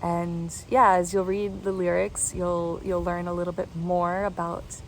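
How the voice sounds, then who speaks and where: A woman hosting her own channel, talking softly and reflectively in a casual way. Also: lowish voice, little pitch movement, measured pace, a little hiss or hum, poor audio. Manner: soft-spoken, reflective. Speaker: host.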